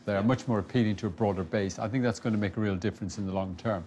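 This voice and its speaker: A man's voice: fairly low pitch, steady tone, rapid-fire, a little mellow, a little hiss or hum. A man presenting a business rescue show, giving firm advice. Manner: firm, advisory. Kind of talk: reality TV presenting. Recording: music bed underneath.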